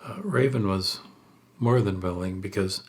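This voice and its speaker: A man telling a story aloud in a halting, measured way, unpolished and in short phrases. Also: deep voice.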